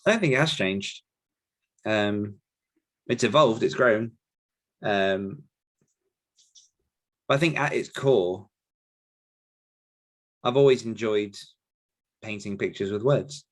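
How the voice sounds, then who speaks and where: A man speaking casually and reflectively as an author being interviewed, often pausing to search for his words. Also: on the low side. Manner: thoughtful, halting, searching for words. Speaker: podcast guest author answering questions.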